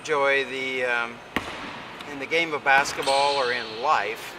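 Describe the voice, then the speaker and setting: An American man conducting a conversational sports radio interview, with halting, drawn-out phrasing. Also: medium pitch, steady tone. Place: basketball fieldhouse. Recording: clear ambient noise of a gym.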